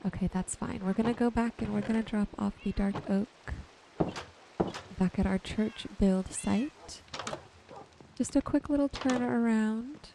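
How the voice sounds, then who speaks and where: A woman giving gentle, soothing ASMR commentary over her gameplay in an unhurried way. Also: fairly high pitch, vocal fry, noisy background. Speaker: gaming video creator. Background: music and rain sounds.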